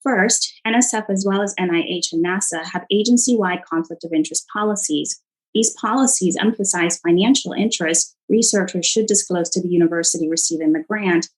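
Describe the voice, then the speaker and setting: Female government witness, lower register, measured formal reading of prepared testimony. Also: low-quality recording.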